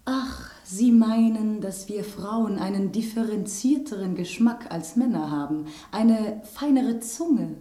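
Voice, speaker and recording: An actress in a German radio drama playing a vain, genteel rose, with an affected and dramatic way of speaking. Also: female voice, mid-range voice, lively intonation, slow pace, few pauses, warm tone, reverberant. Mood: curious, superior. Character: talking rose, female, vain, genteel, easily scandalized.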